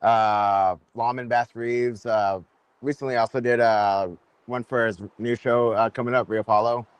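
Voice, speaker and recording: Laid-back podcast host guy, middle pitch, dry sound, laughs a lot. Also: steady tone, normal speed, clear, resonant tone, no echo. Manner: easygoing, laughs often, short encouraging replies. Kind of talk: casual podcast chat.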